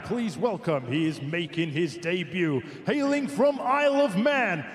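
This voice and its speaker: A man working as a ring announcer, introducing fighters and reading weights with drawn-out name calls. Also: high-pitched. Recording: echoey room.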